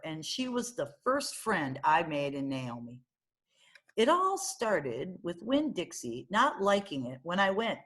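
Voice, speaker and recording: Woman, read-aloud storyteller, children's book narration, very varied intonation, husky voice. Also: fairly low pitch, fairly bright tone. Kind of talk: expressive children's audiobook-style narration.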